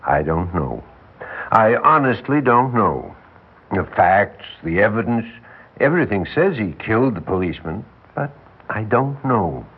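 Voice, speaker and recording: An actor playing an older, world-weary defense lawyer, folksy and wry, with rambling, emphatic delivery in a 1960s radio drama. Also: male voice, on the low side, very animated, unhurried, slightly rough, phone-quality sound, exaggerated voice. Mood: wry, candid. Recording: band-limited vintage 1960s radio recording.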